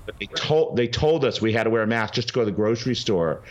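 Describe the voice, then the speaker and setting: Urgent, emphatic lower-voiced man. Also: animated, fast, highs cut off, a little hiss or hum. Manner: emphatic, urgent, with frequent restarts. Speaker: guest, legal advocacy group representative. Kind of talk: animated call-in interview.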